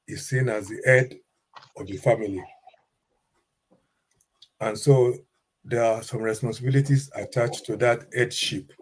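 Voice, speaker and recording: A man speaking as a pastor on a panel. He talks at a very slow, deliberate pace with firm emphasis, and his voice sits at an everyday middle pitch. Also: a little mellow. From Nigeria. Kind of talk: panel discussion answer.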